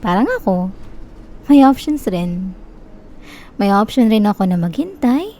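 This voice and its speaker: A young woman with a Filipino accent playing a possessive, manipulative, lovesick stalker in an intimate ASMR roleplay, softly coaxing and teasing with quiet menace. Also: medium pitch, animated, unhurried. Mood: teasing, jealous. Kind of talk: Tagalog-style ASMR roleplay, intimate close address.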